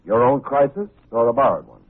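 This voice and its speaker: An actor playing a wry, streetwise private detective in a 1950s radio drama, wisecracking in clipped slang. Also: male voice, medium pitch, fairly quick, close to an everyday voice. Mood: breezy. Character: wry, hard-boiled male private detective, streetwise and breezy. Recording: muffled tinny old radio recording, faint music.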